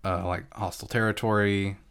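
Lowish-voiced man in a halting recap. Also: level tone, vocal fry, a bit bright, clean tone. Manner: halting, many restarts and fillers. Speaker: podcast co-host. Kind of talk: casual chat recapping a TV episode.

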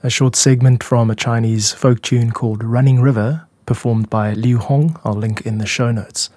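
A man hosting a podcast, explaining his own music in a measured, reflective way. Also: mid-range voice, fairly even intonation, darkish tone. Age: adult. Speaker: podcast host and music producer.

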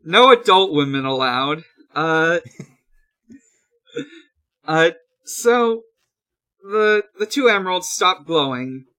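A podcast host casually recapping a show in a halting, hesitant way. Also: mid-range voice, lively intonation, pushed and bright. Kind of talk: casual episode recap chat.

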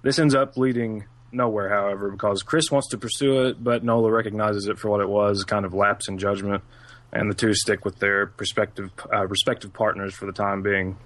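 A man with a self-described monotone voice, co-hosting a casual podcast and reading out a film synopsis. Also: lowish voice, a little fast, no room sound.

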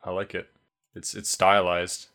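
A man's voice: low voice, monotone, vocal fry, a bit bright. A man co-hosting a podcast, chatting casually and often restarting his sentences. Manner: halting, many restarts and fillers. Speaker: podcast co-host. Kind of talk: casual chat.

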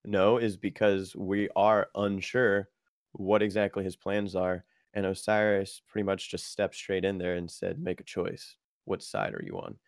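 Low gravelly-voiced man in casual chat. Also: level tone, a little slow, no room sound, studio quality.

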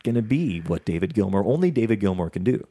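A man's voice: fairly low pitch, very animated, fast talker, mellow, husky. A man hosting a casual music interview, talking in an encouraging, emphatic way. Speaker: interviewer and show host.

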